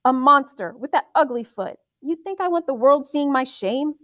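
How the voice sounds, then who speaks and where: A woman's voice: sits high, animated, normal speed, fairly bright tone, voice pushed up. A woman voicing a harsh, scornful mother in an audiobook, scolding and cutting. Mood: furious, scornful. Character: harsh, scornful, abusive working-class London mother.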